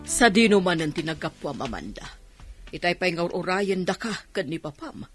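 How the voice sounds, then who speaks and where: A woman's voice: fairly low pitch, big pitch swings, moderate pace, music in the background. A woman acting a demanding middle-aged mother in an Ilocano radio drama. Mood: scolding.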